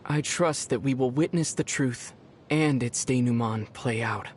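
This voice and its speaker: A man voicing a calm, wry young adventurer in scripted game dialogue, speaking in a measured, articulate way. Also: mid-range voice, slight background noise, natural voice. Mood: musing.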